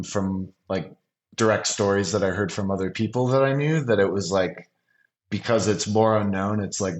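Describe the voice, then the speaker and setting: A man's voice: deep voice, roomy sound. A man in his thirties, a podcast guest, talking casually with many fillers. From United States. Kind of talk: casual interview chat.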